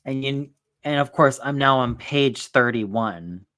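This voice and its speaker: A man's voice: mid-range voice, takes it slowly, fairly bright tone. A young man chats casually as the host of a stream. He rambles and often circles back with fillers before landing on his point. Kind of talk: casual chat.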